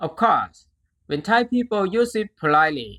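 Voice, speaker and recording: Language teacher, very slow pace, lively varied pitch, deliberate enunciation, scripted lesson delivery. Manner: deliberate enunciation, repeating phrases syllable by syllable.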